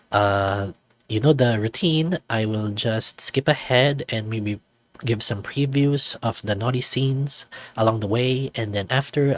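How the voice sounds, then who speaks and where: A man reading a visual novel aloud as channel host, with coy, hesitant commentary. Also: mid-range voice. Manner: giggly, coy, hesitant asides.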